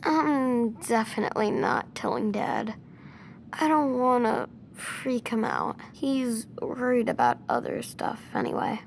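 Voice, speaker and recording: A woman's voice: on the high side, very animated, unhurried, vocal fry, slight background noise, heavily acted. An eager young boy. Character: earnest imaginative young boy playing superhero. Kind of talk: video game cutscene acting.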